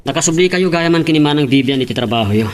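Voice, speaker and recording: A man's voice: on the high side, animated, very fast, a little hiss or hum, natural voice. A man with an Ilocano accent acting a troubled, self-pitying young son and lover in a melodrama. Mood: bitter, agitated. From Philippines. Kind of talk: melodramatic radio-style drama acting. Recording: music behind the voice.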